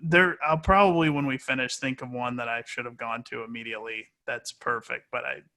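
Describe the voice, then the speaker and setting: A man's voice: mid-range voice, steady tone, brisk, creaky, pushed and bright. A man speaking as an announcer being interviewed, answering casually and hesitantly as he thinks aloud. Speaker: sports play-by-play announcer, interview guest.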